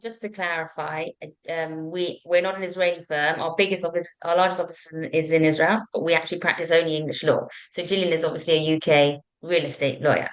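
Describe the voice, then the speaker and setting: A woman's voice: low voice, fairly even intonation, brisk, few pauses, poor audio. A woman hosting an online panel in an informal, conversational way. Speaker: webinar host.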